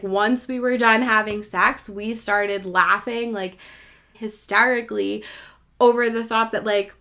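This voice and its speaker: A woman in her thirties telling a personal story as a podcast host, in a casual, candid, animated and self-mocking way. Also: on the high side.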